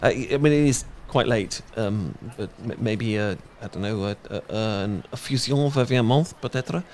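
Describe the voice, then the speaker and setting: A man's voice: mid-range voice, normal speed, darkish tone, a little hiss or hum. A young British man in a romantic audio roleplay, talking shyly with many fillers and restarts. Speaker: ASMR audio roleplay performer.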